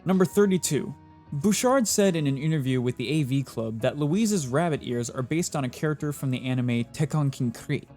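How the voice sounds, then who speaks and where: A man's voice: fairly high pitch, animated, moderate pace, darkish tone. A man narrating a scripted trivia countdown in a playful, jokey presenter style, with wry asides between the facts. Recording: music playing behind the voice.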